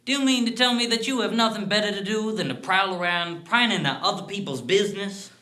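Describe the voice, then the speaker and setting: Man acting a blustering, indignant gentleman. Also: sits high, fairly quick, echoey room, pitched above the performer's own voice, rough recording. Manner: defensive, blustering. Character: proud, indignant gentleman confronted by his own conscience. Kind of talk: dramatic solo short-story interpretation.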